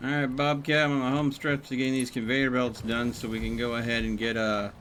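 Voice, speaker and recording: A man streaming a game, chatting casually in a rambling, halting way. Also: medium pitch, little pitch movement, a bit bright, a little hiss or hum. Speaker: live streamer. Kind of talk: casual gaming livestream chat.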